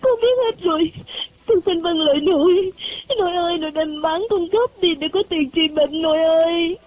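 Give me a very high, piping voice of an eight-year-old girl. She is devoted and tearful, pleading childishly with her grandma. The recording sounds dull, lo-fi and dry. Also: moderate pace, darkish tone, dry recording. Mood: sobbing. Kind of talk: audiobook character voice.

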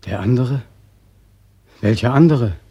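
Radio play: young homecoming soldier, anguished, emphatic, raspy-edged voice, close dry sound. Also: male voice, medium pitch, lively intonation, slow, mellow, no echo, exaggerated voice. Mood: wary, startled. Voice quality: husky. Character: bitter, despairing young soldier home from war. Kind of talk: German radio play acting.

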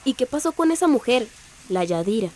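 A woman's voice: fairly high pitch, big pitch swings, a little fast, a little mellow. An actress playing a young, sympathetic and anxious female friend in a radio drama. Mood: concerned. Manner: concerned, questioning.

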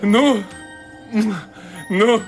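An actor in a radio play voicing a nasal, creaky, fawning male island native who talks in an effusive singsong. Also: high-pitched, over music. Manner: obsequious, effusive, slow and drawn out.

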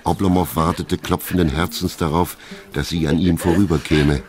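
A man narrating a classic novel in a German radio drama, with measured storytelling. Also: low-pitched, steady tone, darkish tone, faint music behind, natural voice.

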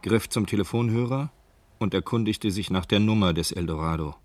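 A man's voice: lowish voice, brisk, darkish tone, no echo, lightly acted. A man narrating a crime story in a scripted radio drama. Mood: measured storytelling.

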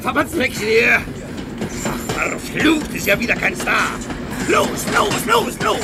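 An actor playing a frantic German military commander shouting urgent orders in a radio drama. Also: male voice, very high-pitched, wildly swooping pitch, unhurried, loud music behind the voice, full character voice. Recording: loud train noise behind shouted voice.

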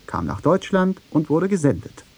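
A man's voice: fairly high pitch, big pitch swings, moderate pace, vocal fry, a little mellow, slightly rough. A man narrating a scripted radio feature in an argumentative style. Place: dry radio studio.

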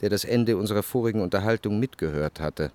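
An actor playing an introspective, anxious gentleman who narrates his memories in a radio play, measured and literary. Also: on the low side, smooth, no room sound, natural voice. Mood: brooding. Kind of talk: scripted first-person radio play narration.

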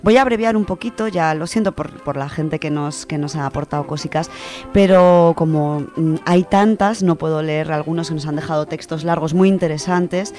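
Radio presenter, female, Spain accent. Low register, colloquial and chatty read, music bed. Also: moderate pace, mellow. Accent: Spanish from Spain. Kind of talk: casual radio chat, reading out listener contributions.

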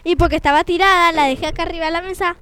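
A woman's voice: extremely high pitch, steady tone, rapid-fire, fairly bright tone. A woman playing a protesting, argumentative young cleaning maid in an improvised theatre scene. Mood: defensive. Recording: noisy amateur recording with clicks.

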